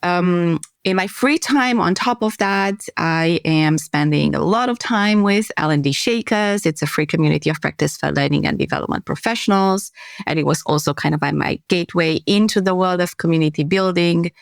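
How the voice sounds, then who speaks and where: Low-voiced woman. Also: creaky, no echo, clean studio sound. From Romania. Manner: friendly, thoughtful, some filler pauses. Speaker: guest, consultant and trainer. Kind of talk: conversational podcast interview.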